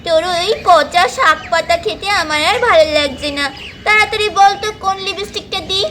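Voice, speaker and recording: A woman's voice: very high-pitched, brisk, fairly bright tone, slight background noise. A woman voicing a vain, bossy, short-tempered young mother doll in a children's cartoon, with a haughty, scolding manner. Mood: vain, excited.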